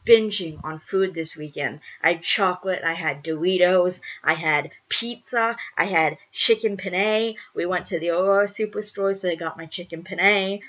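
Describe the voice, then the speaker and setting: A young woman vlogger talks in a slightly low voice, stuffed up with a cold. She rambles casually to her viewers, restating phrases for emphasis. The recording is a little dull and lo-fi. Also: moderate pace, hardly any pauses, a bit bright. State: congested from a cold.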